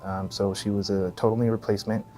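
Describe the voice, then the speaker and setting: A man's voice: lowish voice, little pitch movement, fast, dark tone, faint music behind. A middle-aged man from Texas with an American accent, giving casual interview answers as a physical therapist. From El Paso, Texas, USA.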